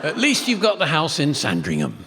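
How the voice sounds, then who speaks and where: A man's voice: fairly high pitch, wildly swooping pitch, a little fast. A man delivering punchlines as a panellist on a radio comedy game. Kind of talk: comic panel game performance. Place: theatre stage before an audience. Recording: very noisy, loud audience behind.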